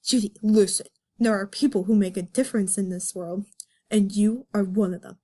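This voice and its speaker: A woman's voice: medium pitch, fairly even intonation, normal speed, dark tone, voice pushed down, close to an everyday voice. A woman voicing an earnest, wounded male cartoon fox with a solemn, theatrical delivery in a comic reading. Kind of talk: melodramatic comic dramatic reading.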